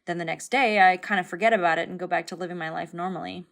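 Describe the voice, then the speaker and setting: Woman doing anxious young cartoon passenger, lots of vocal fry, rambly oversharing, kinda self-deprecating. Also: lowish voice, fairly even intonation, fast, close to an everyday voice. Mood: anxious, confessional. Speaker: passenger in an animated series. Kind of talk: naturalistic confessional conversation.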